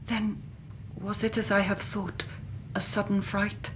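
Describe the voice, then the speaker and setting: An actress playing an anxious young Victorian gentlewoman with an English accent and a polite, hesitant, formal manner in a radio drama. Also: mid-range voice, level tone, measured pace, slight background noise, close to an everyday voice, poor audio. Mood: anxious, trusting.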